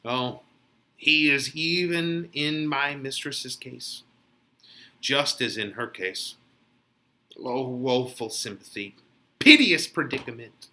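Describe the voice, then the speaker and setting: A man's voice: medium pitch, slow pace, long pauses, pushed and bright. A man voicing a fretful, woeful female nurse who laments in melodramatic Shakespearean verse. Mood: woeful lamenting. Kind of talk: dramatic Shakespeare reading.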